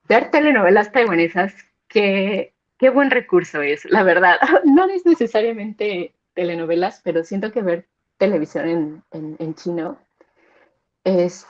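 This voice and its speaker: A young adult woman giving casual, conversational advice as a guest, often repeating words as she searches for them. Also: lowish voice. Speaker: guest sharing learning experience. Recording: dull lo-fi sound.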